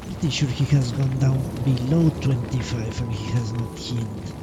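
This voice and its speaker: A man's voice: mid-range voice, normal speed, heavy background noise. A man streaming a video game with casual live commentary, muttering to himself and swearing now and then. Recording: loud game music behind voice. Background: loud video game music.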